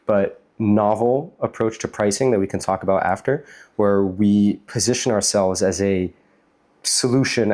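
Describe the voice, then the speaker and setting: Deep-voiced American man being interviewed. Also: a little mellow. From United States, New York City. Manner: explanatory, conversational, frequent "like". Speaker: interview guest, startup chief executive. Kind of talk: casual interview talk.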